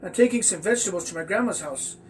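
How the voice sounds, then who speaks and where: A man's voice: high-pitched, expressive, fast. Man reading as a polite, innocent little girl. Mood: cheerful. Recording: distant mic with faint background noise.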